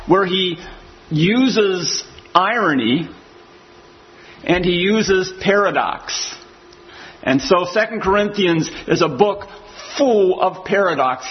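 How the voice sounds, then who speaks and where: A man's voice: high voice, lo-fi sound. A man preaching an expository sermon. He reads scripture aloud and then explains it verse by verse.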